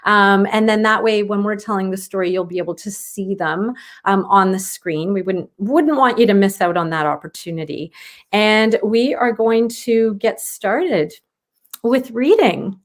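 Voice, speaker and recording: A woman's voice: mid-range voice, measured pace. A woman hosts an online read-aloud. She speaks casually and with enthusiasm, as an author and educator introducing a book.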